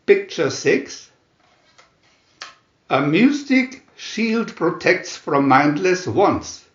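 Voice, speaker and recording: A man reading comic book captions as a dramatic narrator, announcer-like, with long pauses between phrases. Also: sits high, very animated, reverberant, highs cut off. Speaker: narrator reading View-Master booklet captions.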